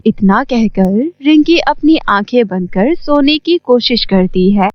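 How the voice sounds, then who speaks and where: A woman's voice: fairly high pitch, animated, slow, a little hiss or hum. A woman reading scripted horror story narration as a storyteller. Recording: muffled audio under loud music.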